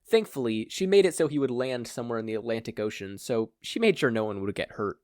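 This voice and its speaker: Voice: medium pitch, animated, fast, darkish tone, no echo, studio quality. A narrator reading a scripted fan fiction story aloud. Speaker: podfic narrator.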